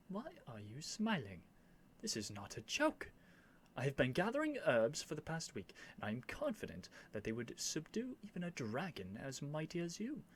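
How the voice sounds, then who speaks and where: A man playing a young royal prince in a scripted ASMR roleplay, earnest and lovestruck, speaking in formal, courtly phrases with haughty airs and flustered hesitations. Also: on the high side. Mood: mock threatening. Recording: faint background noise.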